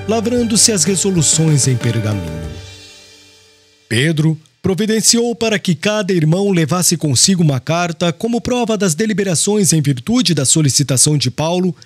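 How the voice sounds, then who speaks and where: A man narrating a literary audiobook in a formal, expressive reading style. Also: sits high, husky, no echo, clean studio sound.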